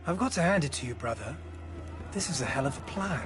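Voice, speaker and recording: An actor playing a swaggering, wry mercenary leader in a video game, with a theatrical, boastful manner. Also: male voice, a little high, animated, quick pace, over music, natural voice. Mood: wry confidence. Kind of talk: video game cutscene acting.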